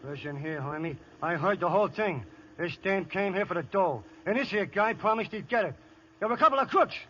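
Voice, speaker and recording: High-pitched weaselly radio henchman. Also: lively intonation, fairly quick, a bit bright, slight background noise. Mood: accusing. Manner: slangy, egging others on. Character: goading, weaselly male gangster's henchman. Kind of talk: 1930s-40s radio crime acting. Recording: muffled old radio recording.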